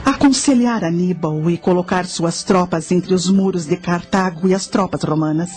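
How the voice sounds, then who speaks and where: Actress as a measured, knowing guide in radio drama. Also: female voice, on the low side, darkish tone, faint music behind, lightly acted. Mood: instructive. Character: knowing guide narrating ancient battles to a companion, calm, instructive.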